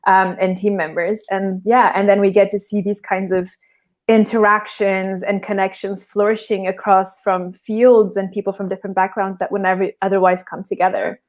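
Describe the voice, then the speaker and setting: A woman's voice: medium pitch, level tone, normal speed. Portuguese-born woman explaining her work. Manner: thoughtful, restarts phrases while explaining. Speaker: guest. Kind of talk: casual interview chat.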